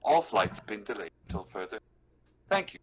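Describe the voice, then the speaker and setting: A man voicing a calm corporate android with measured, robotically polite customer-service delivery. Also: fairly low pitch. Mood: artificially calm.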